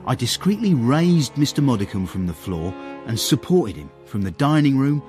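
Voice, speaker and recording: An actor playing a young English manservant, deferential, measured and droll, acting and narrating in a period radio drama. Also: male voice, mid-range voice, big pitch swings, a little mellow, soft background music, close to an everyday voice. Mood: droll narration. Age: young adult. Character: discreet, respectful, ambitious young English manservant. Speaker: servant and narrator.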